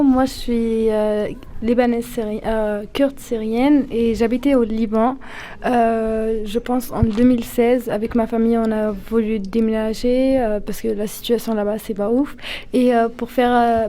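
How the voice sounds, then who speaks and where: A teenage girl from Syria, a high school student speaking French casually and with ease. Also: fairly even intonation, a little slow, mellow, a little hiss or hum.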